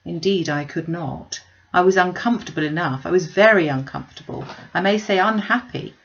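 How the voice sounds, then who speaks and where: A woman's voice: on the low side, a little fast, highs cut off, slight background noise, natural voice. Woman acting a spirited, wry young Regency lady. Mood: regretful. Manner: wry, articulate, emphatic. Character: witty, spirited, self-possessed young lady of Regency England. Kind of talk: dramatised classic novel reading.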